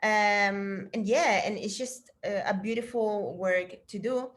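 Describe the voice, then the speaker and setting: A woman's voice: medium pitch, slow pace. A middle-aged woman from Chile, a fitness trainer, chatting casually and encouragingly to her trainees on a video call. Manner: chatty and encouraging, with fillers and restarts.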